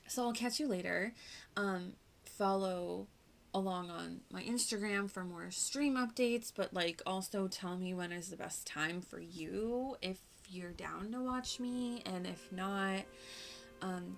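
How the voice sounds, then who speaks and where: A woman's voice: mid-range voice, slow, gravelly. A woman livestreaming a video game with casual commentary, in a playful, gushing and informal manner. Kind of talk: casual live gameplay commentary. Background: music.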